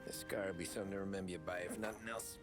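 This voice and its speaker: An actor playing a loyal, stoic young gangster who speaks tersely, in a cinematic game style. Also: male voice, deep voice, fairly even intonation, rapid-fire, loud music behind the voice, lightly acted. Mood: guarded, weary. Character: loyal young mob driver, stoic tough guy.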